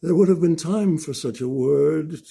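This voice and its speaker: A man playing a weary, despairing king in a Shakespearean soliloquy, with a gravelly, husky voice and measured delivery. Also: a little high, warm tone. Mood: desolate, weary. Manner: slow, measured. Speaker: amateur actor.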